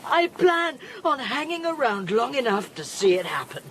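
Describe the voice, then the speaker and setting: An actress playing a dying, defiant woman scientist in an audio drama, speaking haltingly and in anguish. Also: a little high, very animated, background noise. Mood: weak, defiant.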